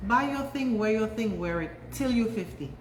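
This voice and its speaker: A woman's voice: fairly high pitch, expressive, normal speed, a little hiss or hum. Laughing, persuasive saleswoman in an echoey store. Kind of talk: casual sales pitch on camera. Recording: echoey room from far off.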